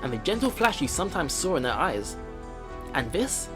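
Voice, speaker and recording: A man plays through a story game for his viewers, reading it aloud with drama and chatting casually between passages. His voice is on the higher side and swings widely in pitch, with faint music behind it. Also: sing-song, normal speed. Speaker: let's play video host.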